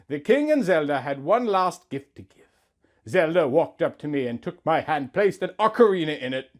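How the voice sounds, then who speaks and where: A man in his thirties giving a mock-earnest, melodramatic reading of a story, leaning on words for emphasis. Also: a little high. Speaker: host of a comedy reading series. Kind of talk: theatrical dramatic reading of fan fiction.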